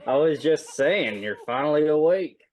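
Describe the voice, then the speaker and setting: A man's voice: on the high side, animated, moderate pace, darkish tone, no echo. Male tabletop player, dry close sound. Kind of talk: casual in-game banter.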